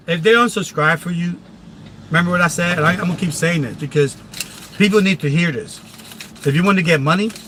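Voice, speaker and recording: A man with a Philadelphia accent rants casually on a live stream. His voice sits high and bright. He is emphatic and repetitive and often restarts his sentences, with faint traffic noise behind him. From Philadelphia, USA.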